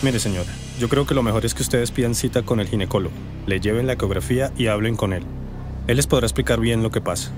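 An actor with a Colombian accent playing a cautious, concerned and polite radiologist in a radio drama. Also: male voice, medium pitch, quick pace, over music, natural voice.

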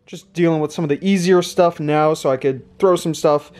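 A man's voice: on the high side, steady tone, normal speed. A man doing casual vlog commentary as a reseller, thinking aloud as he goes. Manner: thinks aloud while tallying numbers. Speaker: reseller host of a thrifting channel. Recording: clear background noise, clicks.

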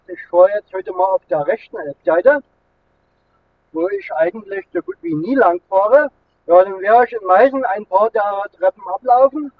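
High-pitched man, tinny car recording. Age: middle-aged. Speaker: vlogger. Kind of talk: casual vlog chat while driving. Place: inside a moving car with air conditioning running. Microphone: camera mounted in the car. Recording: thin phone-like sound, road noise.

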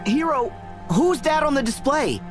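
Plucky, expressive teenage boy hero. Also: very high-pitched, a little slow, music in the background, full character voice. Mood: puzzled, concerned. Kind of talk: video game cutscene acting.

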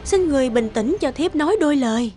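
A wicked young fairy tale queen, speaks in a high voice. She is haughty and sly, with theatrical cartoon cruelty. Music plays behind her. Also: lively intonation, fast, mellow. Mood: fearful, pleading. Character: scheming, cruel young queen plotting against the king.

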